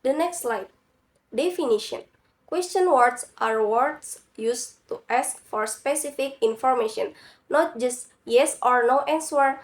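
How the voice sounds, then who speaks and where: A young woman with a cold reads a prepared class presentation in careful, slightly halting English. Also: sits high. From Indonesia. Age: young adult. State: has a cold. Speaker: university student.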